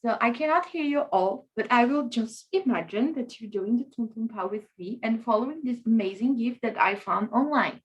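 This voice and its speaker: A woman with a notably high voice opens an online conference over a video call. She is chatty and enthusiastic and keeps coaxing the audience to take part. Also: normal speed, few pauses, highs cut off. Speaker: conference chair and host. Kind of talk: live online conference opening.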